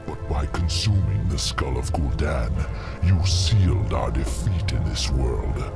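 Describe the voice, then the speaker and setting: An actor playing an imperious ancient demon lord in a fantasy game, with a gravelly growl and a grandiose, commanding manner. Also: male voice, very deep voice, heavily acted. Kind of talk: dramatic fantasy game cutscene acting.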